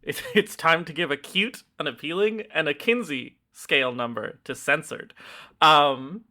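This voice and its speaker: Bright-voiced joking podcast host. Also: mid-range voice, measured pace. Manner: joking, self-deprecating, rambling. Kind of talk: casual chat.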